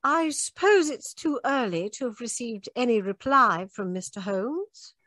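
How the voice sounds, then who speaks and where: A woman playing a polite, anxious young gentlewoman in a radio mystery, speaking in a measured, hesitant way. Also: on the high side, animated, no room sound, clean studio sound. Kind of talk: old-time radio mystery acting.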